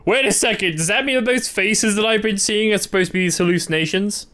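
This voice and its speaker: A woman's voice: medium pitch, fast talker, fairly bright tone. A woman doing casual livestream reaction commentary on horror videos, playful, sarcastic and given to exclamations.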